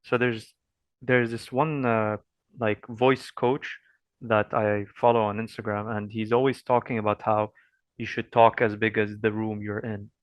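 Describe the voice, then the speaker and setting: Man, lowish voice, dry sound, casual, rambling interviewer. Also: flat delivery, measured pace, dry recording, muffled recording. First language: Arabic. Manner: rambling with fillers.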